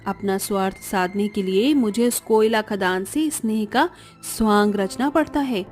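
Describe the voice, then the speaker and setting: A woman's voice: mid-range voice, unhurried, soft background music, lightly acted. A woman doing a sly scheming stepmother. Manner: hushed, calculating, two-faced.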